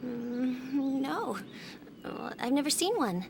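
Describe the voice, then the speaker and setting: A feisty young traveling performer in a video game. Her voice is high and very expressive, delivered unhurriedly, friendly but quick to snap. There is clear background noise. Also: heavily acted. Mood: curious. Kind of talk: English video game dub acting.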